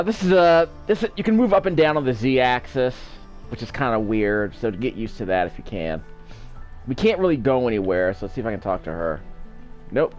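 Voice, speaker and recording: A man's voice: mid-range voice, a little mellow. A man casually commentating on a video game as its host, rambling off into asides. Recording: game music behind. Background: video game music.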